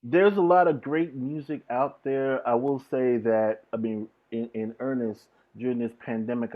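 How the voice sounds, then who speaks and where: Husky man chatting earnestly, low-fi sound. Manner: earnest, informal slangy talk. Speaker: interview guest, DJ and music producer. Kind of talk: casual chat. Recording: dull lo-fi video call audio.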